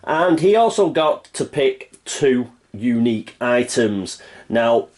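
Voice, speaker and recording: A man's voice: mid-range voice, animated, takes it slowly, a little mellow. A man with a stuffy nose from a cold, chatting casually through a board game setup and thinking aloud with plenty of fillers. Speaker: board game channel host.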